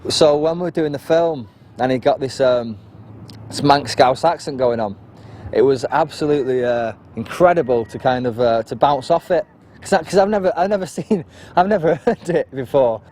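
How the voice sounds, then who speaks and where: A man's voice: mid-range voice, moderate pace, a little mellow, slight background noise. A man, an actor, talking hesitantly and self-deprecatingly in a casual interview, with many fillers and restarts.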